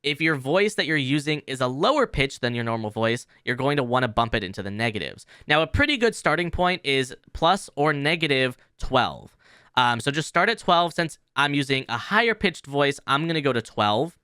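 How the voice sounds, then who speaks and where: Casting: male tutorial host, crisp clear voice, animated intonation, informal explainer style, dry close sound. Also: mid-range voice, moderate pace, dry recording. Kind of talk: casual software tutorial narration.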